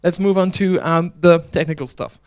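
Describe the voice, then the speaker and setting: Clear-voiced man giving a joking conference talk. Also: sits high, steady tone, normal speed. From Belgium. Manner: chatty, joking, restarts often. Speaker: conference speaker and organizer. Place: conference hall.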